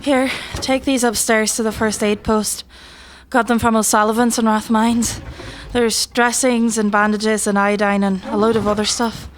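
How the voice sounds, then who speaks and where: An actress playing a plucky, brisk courier for Irish rebels in an audio play, with an Irish accent. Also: female voice, a little high, flat delivery, slight background noise, lightly acted. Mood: brisk, chatty.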